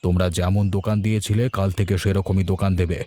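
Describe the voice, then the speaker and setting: A man's voice: low-pitched, flat delivery, fast, mellow, natural voice. A man playing a righteous, protective village headman in a fairy-tale cartoon, firm but kindly in manner. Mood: resolute, protective. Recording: background music throughout.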